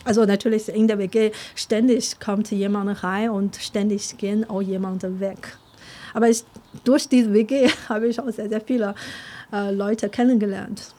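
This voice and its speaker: A woman's voice: medium pitch, warm tone. A young woman from China with a Chinese accent, answering interview questions, hesitant with many fillers and frequent laughs.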